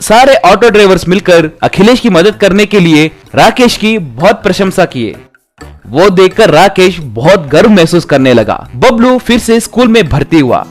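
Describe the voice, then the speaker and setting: A man's voice: high voice, big pitch swings, moderate pace, soft background music. A man reading a scripted moral tale as a storytelling narrator.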